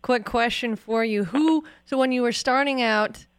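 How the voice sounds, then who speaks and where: A woman hosting a radio interview, asking brisk, direct questions. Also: a little high, clear, resonant tone, dry recording. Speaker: radio host.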